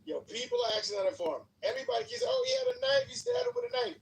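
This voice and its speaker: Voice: very high voice, huge pitch swings, rapid-fire, echoey room. An irritated, worked-up speaker arguing insistently and repetitively in a heated group debate, with swearing. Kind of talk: heated debate in a live online group audio discussion. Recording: distant mic, noticeable background noise.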